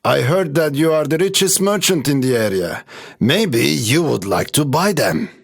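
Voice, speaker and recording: A man playing a stern, crafty leader of thieves in a children's storybook, speaking in a deliberate, commanding way. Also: a little high, expressive, vocal fry, breathy edge, heavily acted. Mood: sly, salesmanlike. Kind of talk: children's storybook character acting.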